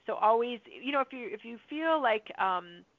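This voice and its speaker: A slightly hoarse woman hosting a call, chatty and upbeat, answering listener questions with lots of fillers. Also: a little high, animated, moderate pace, dry recording. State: slightly hoarse from long talking. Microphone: phone or conference line. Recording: dry tinny phone-line audio.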